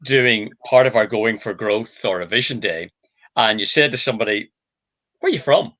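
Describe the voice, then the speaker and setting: Bright-voiced Irish man, hesitant. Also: fairly low pitch, lively intonation, moderate pace. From Ireland. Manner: thoughtful, explains with examples, many hesitations. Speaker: book author. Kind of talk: casual discussion.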